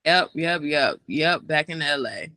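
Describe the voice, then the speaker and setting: Bright, chatty young woman. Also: low-pitched, measured pace, no room sound. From Maryland, USA. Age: young adult. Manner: animated, chatty, laughs often. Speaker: interview guest, rapper. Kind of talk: casual interview chat.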